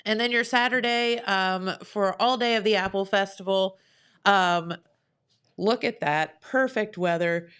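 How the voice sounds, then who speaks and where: A woman's voice: medium pitch, unhurried, a bit bright, clean tone. Cheerful local TV host, woman, bubbly chat and weather reads. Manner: bubbly, upbeat, laughs easily. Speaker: morning show host. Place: local TV studio.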